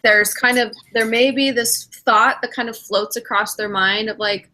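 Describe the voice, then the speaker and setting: A woman's voice: fairly high pitch, steady tone, normal speed, sharp tone, poor audio. A middle-aged woman, a spiritual director hosting a casual podcast chat, reflective and acting out her own inner thoughts as she talks.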